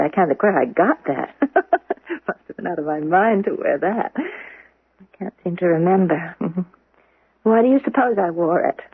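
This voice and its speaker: Confused young woman, breathy, faltering, sweet, dry close sound, narrow old radio broadcast, 1970s drama. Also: fairly low pitch, no room sound, exaggerated voice. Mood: cheerful, vague.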